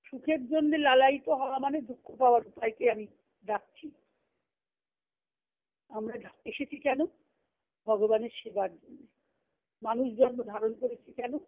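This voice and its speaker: A woman's voice: a little high, unhurried, pauses often. A woman spiritual teacher giving a devotional discourse over a phone conference call, speaking didactically in short phrases with repetition and rhetorical questions. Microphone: telephone. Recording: narrow, tinny phone conference line.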